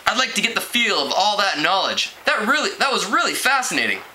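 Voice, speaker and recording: A man's voice: high voice, sing-song, a little fast, bright, forceful tone, voice pushed up, heavily acted. A man reading a storybook aloud, voicing an eager, awkward teenage boy who politely improvises as a reporter. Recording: clearly noisy home recording.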